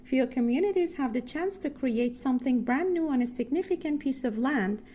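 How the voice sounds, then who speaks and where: A woman's voice: high voice, animated, moderate pace, warm tone, slight background noise. A woman reading short educational passages aloud as scripted narration. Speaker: pronunciation practice narrator.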